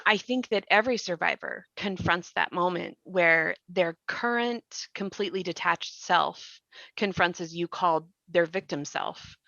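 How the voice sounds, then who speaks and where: Bright, forceful woman in casual discussion. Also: lowish voice, a little slow, creaky, dry recording, dull audio. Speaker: podcast actor discussing a drama series.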